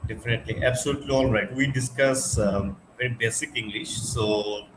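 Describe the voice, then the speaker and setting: A man's voice: medium pitch, noisy background. Bangladeshi man hosting a webinar. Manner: slow, with frequent uh fillers. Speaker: webinar host. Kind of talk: hosting an online interview.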